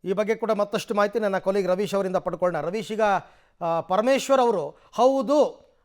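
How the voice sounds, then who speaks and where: A man with a Karnataka Kannada accent anchoring live television news, mixing in English words. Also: very high-pitched.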